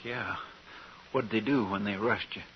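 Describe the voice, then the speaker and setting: An actor playing a simple-hearted, deferential deputy sidekick with a rural American accent in a 1950s radio western. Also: male voice, fairly low pitch, normal speed, fairly bright tone, lightly acted. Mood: concerned. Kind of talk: 1950s radio drama acting. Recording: noisy 1950s radio recording.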